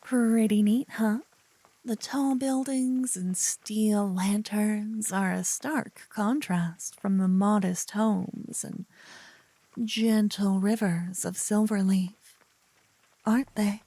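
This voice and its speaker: A woman playing a silly, adorable young paladin in an ASMR audio roleplay, teasing and theatrical, hushed in places. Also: mid-range voice, steady tone, slow, no echo. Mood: proud, lecturing. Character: adorable silly young female paladin, bossy, smitten, playful know-it-all.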